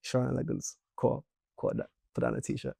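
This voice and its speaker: Gravelly-voiced young Leeds musician. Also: male voice, mid-range voice, moderate pace, no room sound, studio quality. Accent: Leeds English. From Leeds, England. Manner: animated, playful, chatty. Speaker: musician guest. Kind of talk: casual interview chat.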